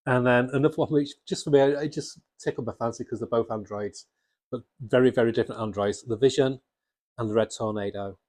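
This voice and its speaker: A man's voice: medium pitch, brisk, a little mellow, no echo. A man presenting unscripted fan commentary to viewers in a casual, rambling, enthusiastic way. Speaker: comics video presenter.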